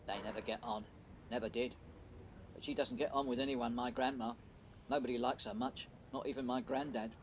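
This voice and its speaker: An actor playing a shy, apologetic young romantic in an audio play, with a hesitant, awkward way of talking. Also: male voice, mid-range voice, steady tone, fairly quick, a little hiss or hum, natural voice.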